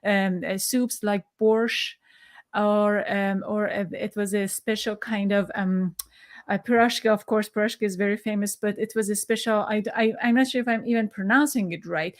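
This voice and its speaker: A woman podcast host with a non-native English accent, interviewing in a halting, conversational way as she searches for words. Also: medium pitch, fairly even intonation.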